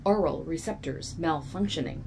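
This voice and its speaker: A woman's voice: deep voice, normal speed. Woman voicing a stuttering, glitchy robot assistant, noisy audio. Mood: glitching, detached. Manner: stuttering, repeating, formal machine-like announcements. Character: glitching female robot assistant, clipped and malfunctioning. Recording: clearly noisy audio with clicks.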